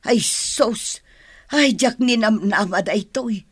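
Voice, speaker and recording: An actress playing a gossipy, bossy but kindly household helper woman with a husky voice in an Ilocano radio drama. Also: on the high side, slow pace, no echo, clean studio sound. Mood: confiding.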